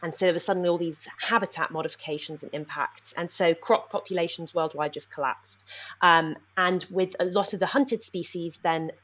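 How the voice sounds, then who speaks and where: Low-voiced young woman, lots of ums. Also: fairly even intonation, fairly bright tone, highs cut off. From Nepal. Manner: thoughtful, many ums, talks fast in bursts. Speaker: researcher, guest. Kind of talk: podcast interview.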